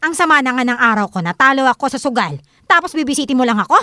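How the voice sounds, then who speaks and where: A woman's voice: sits high, animated, fast, sharp tone, no echo, clean studio sound. An actress playing a harsh, nagging mother in an audio drama, scolding and accusing in a Filipino accent. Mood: irritated, accusing. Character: harsh, nagging, gambling mother who bullies her daughter.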